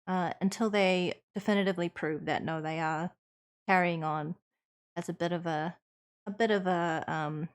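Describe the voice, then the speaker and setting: A woman livestream host with a New Zealand accent, talking to her chat in an earnest, measured and thoughtful conversational way. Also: fairly low pitch, fairly even intonation, clean tone. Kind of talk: conversational livestream Q&A, partly reading chat aloud.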